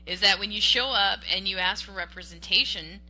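Bright-toned woman from western washington, emphatic political debate, lots of restarts and "okay?". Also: mid-range voice, a little fast, low-quality recording. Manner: animated, emphatic, with frequent restarts and "okay?" tags. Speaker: podcast co-host.

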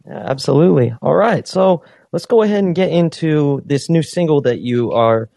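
A man's voice: fairly high pitch, moderate pace, gravelly, warm tone, dry recording, studio quality. A man hosting a casual call-in interview, upbeat and encouraging toward his guest. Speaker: radio show host.

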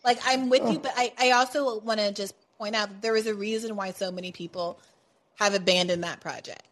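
A woman hosting a political talk podcast, animated and sarcastic, building into quick rants. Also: mid-range voice. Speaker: podcast host.

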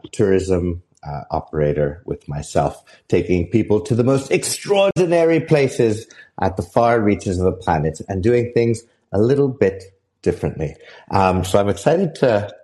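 A young man hosting a podcast, his voice sitting on the low side. He speaks in a casual, halting way, often pausing on fillers and starting his sentences over. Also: darkish tone. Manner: halting, heavy with fillers and restarts. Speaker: podcast host. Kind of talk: casual interview chat.

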